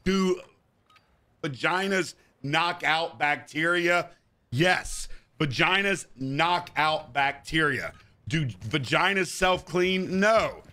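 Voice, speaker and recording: A man's voice: a little high, animated, slow pace, sharp tone. A man in his thirties live-streaming a game, bantering crudely with chat and swearing often. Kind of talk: casual live-stream chat while gaming. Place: indoor room at a gaming chair.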